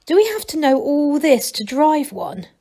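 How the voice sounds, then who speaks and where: A woman's voice: high voice, sing-song, moderate pace, dark tone, pitched above the performer's own voice. A woman voicing a cautious, patient male gnome leader with a hesitant, fussing manner in a children's storybook. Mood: puzzled.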